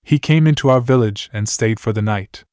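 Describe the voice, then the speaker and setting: A man voicing a soft-spoken village mother. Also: medium pitch, moderate pace, no room sound, studio quality, natural voice. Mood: calm. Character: gentle village mother, grown woman.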